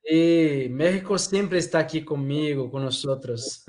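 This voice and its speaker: A man's voice: a little high, fairly even intonation, slow, darkish tone. A man from Brazil hosting a live online chat, friendly and chatty, laughing easily. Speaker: host. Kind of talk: casual interview chat.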